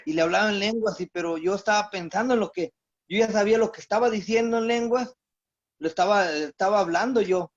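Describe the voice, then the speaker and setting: A man with a high voice tells his own spiritual testimony. He stumbles over his words and restarts his sentences often. The recording sounds dull and lo-fi. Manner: halting, many restarts and fillers. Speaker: church member giving testimony.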